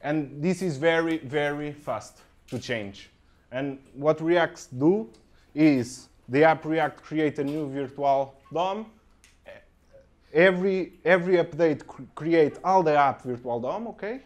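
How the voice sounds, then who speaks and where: A young man from Portugal with a Portuguese accent, giving a conference tech talk in halting English full of ums and okays, recorded on a handheld mic in a room. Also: on the high side. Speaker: conference speaker. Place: conference room with projector, indoors.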